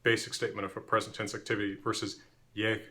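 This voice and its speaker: A man explaining language history in a measured, clear, professorial lecture. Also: on the low side, flat delivery, sharp tone.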